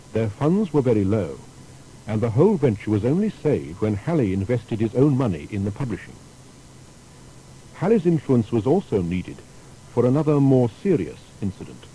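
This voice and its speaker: A man's voice: mid-range voice, big pitch swings, moderate pace, dark tone, a little hiss or hum, low-quality recording. A man reading a scripted biographical narration in a formal, storytelling manner. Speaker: documentary narrator.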